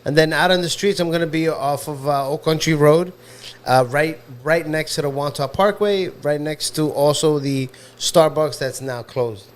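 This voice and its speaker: Brief: laid-back male guest, slightly high voice, lots of ums. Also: moderate pace. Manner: easygoing, hesitant with fillers. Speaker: guest, food truck owner. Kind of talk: casual chat.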